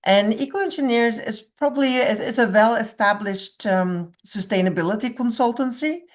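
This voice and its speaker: A woman with a Norwegian accent introduces herself on an online panel. She talks in a friendly way but pauses and restarts now and then while she finds her words. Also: on the high side. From Norway. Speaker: sustainability consultant. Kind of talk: self-introduction on a webinar. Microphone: video call.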